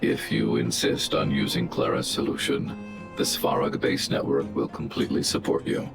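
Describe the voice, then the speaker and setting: An actor voicing a huge, protective robot guardian in a video game, speaking in a calm, formal, emotionless and logical way. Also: male voice, extremely low pitch, gravelly. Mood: solemn, measured. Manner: measured, formal, emotionless machine-like delivery. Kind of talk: scripted video game dialogue. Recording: game music behind.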